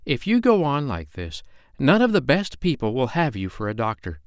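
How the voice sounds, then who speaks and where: A man's voice: mid-range voice, wildly swooping pitch, moderate pace, no echo, studio quality, voice pushed up. A man voicing an exasperated, nagging woman, a housekeeper sister in a storybook, with a scolding and indignant manner.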